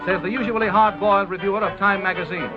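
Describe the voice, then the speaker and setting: A man's voice: sits high, steady tone, fast talker. An American man narrating a 1930s promotional film in a formal, grandiose announcer style from a script. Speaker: documentary narrator. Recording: dull vintage 1930s film soundtrack, music. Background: orchestral music.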